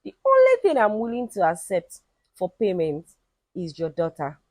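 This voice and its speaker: A woman voicing a shrewd, demanding male spirit healer in a folktale, bargaining deliberately. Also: medium pitch, huge pitch swings, a little mellow, no room sound, clean studio sound. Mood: firm.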